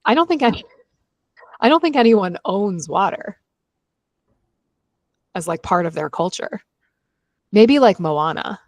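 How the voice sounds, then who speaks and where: A woman's voice: medium pitch, lively intonation, measured pace, long pauses, no echo. A woman chatting casually as co-host of a book discussion podcast.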